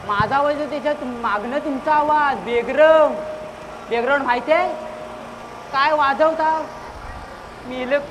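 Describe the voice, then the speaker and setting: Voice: high-pitched, moderate pace, pauses often, natural voice. A performer playing a bossy, irritable village secretary in a comic stage play, scolding and barking repeatedly. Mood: irritated, scolding. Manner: scolding, exasperated, repetitive barking. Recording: noisy live stage recording.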